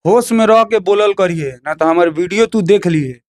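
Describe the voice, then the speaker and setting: A man's voice: sits high, moderate pace, no room sound. A man with a Bhojpuri accent giving heated opinions in an interview, emphatic and combative, repeating phrases and firing rhetorical questions.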